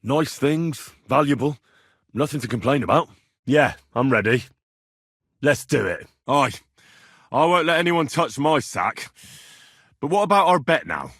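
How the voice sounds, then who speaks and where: A man's voice: mid-range voice, animated, a little slow, pauses often, a bit bright, dry recording, studio quality. An actor playing a plain-spoken, earnest and sometimes cheeky young Bohemian swordsman in a medieval video game. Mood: casual, agreeable. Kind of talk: video game voice lines, naturalistic dramatic acting.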